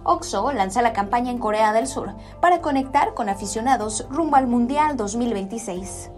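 A woman reading scripted sports headlines in a brisk announcing manner. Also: fairly high pitch, expressive, soft background music.

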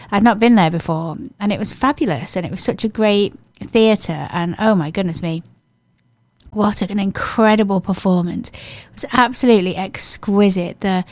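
Croaky-voiced English woman radio presenter. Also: medium pitch, a little mellow, no room sound. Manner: chatty, gushing, rambling with restarts. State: hoarse, croaky from a cold. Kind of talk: casual radio chat.

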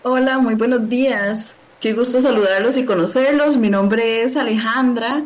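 A woman's voice: fairly high pitch, moderate pace. A woman from Costa Rica, a gastronomy researcher, answering interview questions over a video call in a friendly, fluent way with many fillers.

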